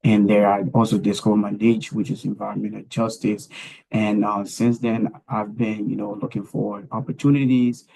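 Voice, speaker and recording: A man talks through his career path on a panel, stopping often to restart his sentences. His voice sits low and has a gravelly edge. He speaks with very little rise and fall. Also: level tone, warm tone, poor audio. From Liberia. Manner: halting, many fillers and restarts.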